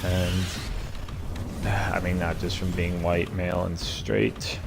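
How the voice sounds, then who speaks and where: A man from America hosting a solo vlog in a casual, reflective way, halting and repeating words as he searches for phrasing. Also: sits low, little pitch movement, creaky. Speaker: host of a solo vlog and podcast. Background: video game sound and music.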